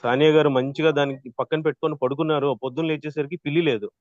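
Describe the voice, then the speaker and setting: Higher-voiced man teaching, muffled sound. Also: fairly even intonation, very fast, darkish tone, dry recording. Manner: checks in often with "right?". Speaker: voice modulation trainer. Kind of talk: interactive online lesson, explaining with acted examples.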